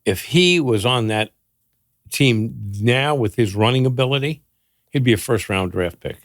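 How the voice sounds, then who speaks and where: A man's voice: mid-range voice, lively intonation, unhurried, no room sound, studio quality. An older man, a guest and the host's father, chatting casually in a wry, teasing way and laughing often. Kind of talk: casual chat.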